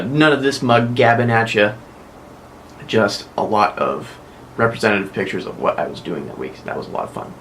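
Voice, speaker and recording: A man's voice: mid-range voice, a bit bright, a little hiss or hum. A young American man hosting a casual weekly vlog, chatting and rambling with frequent self-corrections. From United States. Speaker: vlog host.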